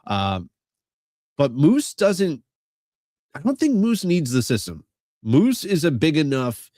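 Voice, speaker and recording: A man co-hosting a podcast, nasal and stuffy from a cold. His pitch sits mid-range and he speaks with emphatic enthusiasm, recorded dry and close. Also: no room sound. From Long Island, New York. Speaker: podcast co-host. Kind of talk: casual wrestling podcast chat.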